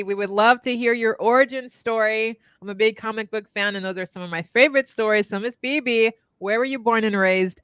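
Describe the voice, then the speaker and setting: A co-host of a live web show, speaking from a home studio, bubbly and enthusiastic. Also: female voice, mid-range voice, fairly bright tone, clear, resonant tone, dry recording.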